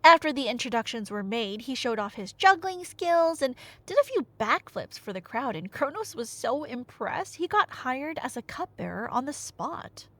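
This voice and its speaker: A woman's voice: sits high, sing-song, normal speed, few pauses, no echo. A woman telling a story in a casual, playful roleplay as a nerdy girlfriend, conversational and teasing, with dramatic emphasis, close to the microphone. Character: nerdy girlfriend excitedly retelling Greek myths. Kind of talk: animated casual storytelling roleplay to a listener, ASMR audio.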